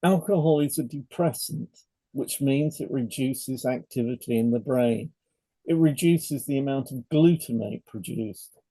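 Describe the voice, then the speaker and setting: Gravelly, mellow man reading a podcast script. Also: medium pitch, a little slow, no room sound, low-quality recording. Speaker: podcast co-host, hypnotherapist. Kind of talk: scripted podcast narration, read aloud.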